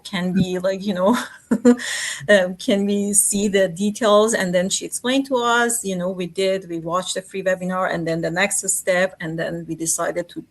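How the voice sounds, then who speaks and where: A woman, telling her story as an interview guest, talking with many fillers and restarts. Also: fairly low pitch. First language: Farsi. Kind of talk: casual interview.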